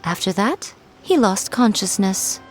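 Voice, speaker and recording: A woman's voice: mid-range voice, animated, faint music behind, close to an everyday voice. A woman playing a sly, playful kitsune priestess in a video game, self-assured and coaxing. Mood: explaining calmly. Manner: teasing, coaxing, unhurried.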